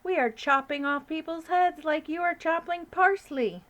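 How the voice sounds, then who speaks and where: A man's voice: very high-pitched, moderate pace, pushed and bright, pitched above the performer's own voice. A woman doing a blunt, matter-of-fact sultan.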